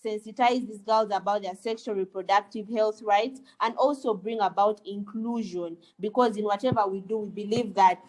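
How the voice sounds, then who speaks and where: A woman's voice: mid-range voice, steady tone, moderate pace, few pauses, poor audio. Earnest Ugandan woman on a webinar. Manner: earnest, with frequent fillers and restarts. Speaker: lawyer and webinar speaker. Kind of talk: webinar panel talk. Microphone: video call.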